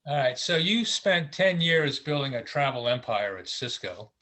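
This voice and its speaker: A man hosting an interview show on a video call, friendly and a bit halting with fillers. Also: mid-range voice, big pitch swings, pushed and bright. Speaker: interview host.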